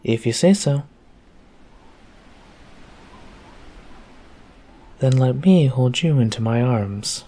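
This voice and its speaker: A man's voice: medium pitch, animated, moderate pace, mellow, close to an everyday voice. A man playing an affectionate, easygoing boyfriend in an intimate roleplay audio, talking tenderly and casually straight to the listener. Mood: tired, tender. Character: affectionate easygoing boyfriend home from work on a snowy night.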